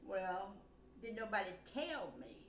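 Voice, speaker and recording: A woman's voice: medium pitch, very animated, measured pace. An older woman, a grandmother, casually recounting family stories in a rambling, blunt way, acting out conversations as dialogue. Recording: echoey room, background noise.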